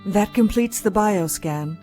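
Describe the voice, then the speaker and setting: A woman playing a weary, dedicated doctor, medium pitch. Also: a little slow, warm tone, faint music behind, lightly acted. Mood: polite, businesslike. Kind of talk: audio drama acting.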